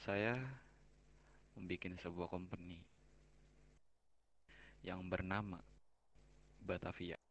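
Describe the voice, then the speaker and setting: A man speaking formally in character in a roleplay game, slow and halting, sometimes sinking to a near whisper. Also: sits low, flat delivery, a bit bright, dull audio. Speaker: roleplay company founder. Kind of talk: in-character roleplay speech over voice chat.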